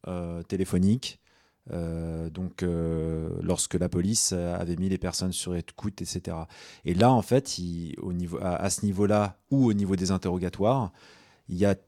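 A man from Tunisia, speaking as a guest on a radio talk show, often pausing and restarting his sentences. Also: deep voice, darkish tone, no echo, studio quality. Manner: hesitant, many restarts and fillers. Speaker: guest activist. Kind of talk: radio discussion.

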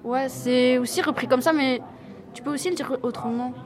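Woman, higher voice, casual short backchannels; crowded background. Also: normal speed. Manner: brief agreeing replies, casual. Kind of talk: casual chat. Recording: crowd chatter behind.

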